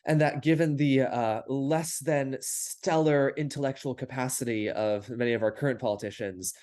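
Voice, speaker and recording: A man's voice: medium pitch, fairly even intonation, normal speed, a little mellow. A young man who grew up in Paris and speaks with an American accent, a startup founder answering interview questions in long, reflective answers. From France, grew up in Paris. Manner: articulate and reflective, speaks in long flowing thoughts. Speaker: podcast guest, startup founder. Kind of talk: casual conversational interview.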